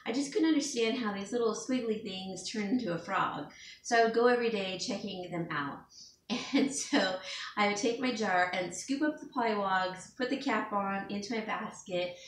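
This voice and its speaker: A chatty woman vlogs at home in a relaxed, rambling way, sniffling a little from allergies. She sits some distance from the mic, so her voice comes across echoey and roomy. A faint hiss runs under everything. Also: medium pitch, moderate pace. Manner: rambling, chatty, with fillers and small laughs. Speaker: home stager and DIY vlogger. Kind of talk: casual chat to camera.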